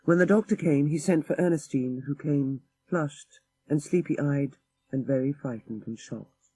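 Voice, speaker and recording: A man's voice: a little high, measured pace, mellow, slightly rough, dry recording, rough recording. A man narrating a literary ghost story for an audiobook in a dramatic style.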